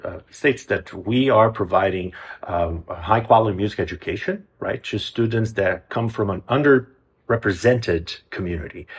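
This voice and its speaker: A man from Brazil, a music program's executive director, answering interview questions earnestly, often restarting his phrases. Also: on the low side. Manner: earnest, with frequent restarts and hesitations.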